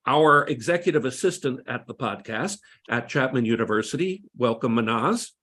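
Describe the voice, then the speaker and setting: A man co-hosting a podcast introduces each guest in turn, speaking in a measured way with many small hesitations. His voice sits in an ordinary middle pitch. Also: animated, a bit bright, husky. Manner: measured, with frequent fillers. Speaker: podcast co-host.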